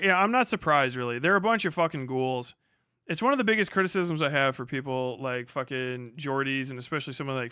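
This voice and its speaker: A man's voice: medium pitch, bright, forceful tone, smooth, no room sound, clean studio sound. An American man chatting casually on a livestream while gaming, with irreverent, sarcastic and profane commentary. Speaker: live streamer commenting while playing a video game.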